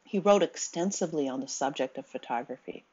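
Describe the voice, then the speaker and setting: Low husky woman lecturing. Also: expressive, dark tone, lo-fi sound. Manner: measured, reads quotes aloud, occasional ums. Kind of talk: recorded slide lecture.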